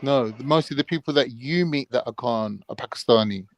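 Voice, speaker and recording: A man's voice: medium pitch, a little fast. A man arguing his point on a live panel, interrupting and pressing others with repeated questions. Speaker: panel guest. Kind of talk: heated debate on a live call-in panel.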